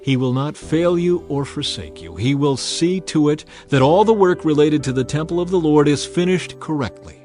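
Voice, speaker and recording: A man's voice: a little high, lively intonation, moderate pace, few pauses, a little mellow, voice pushed up, natural voice. A man voicing a solemn aging king who addresses his people in an earnest, oratorical way, in a dramatized scripture reading. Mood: encouraging, firm. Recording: music behind the voice.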